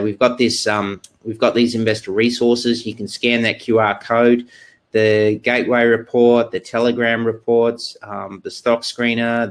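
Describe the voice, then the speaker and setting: Man, thirties, webinar presenter on a webcam, halting delivery full of ums and restarts. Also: lowish voice, vocal fry. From Australia. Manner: halting, many fillers and restarts. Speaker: exchange research presenter. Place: indoor room, video call. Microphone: webcam video call.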